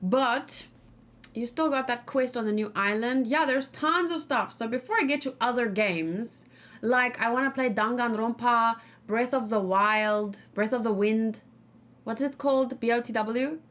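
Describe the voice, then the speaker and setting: A woman's voice: a little high, measured pace, a bit bright. A woman gaming streamer talking casually and informally, rambling and thinking aloud as she hosts a let's play.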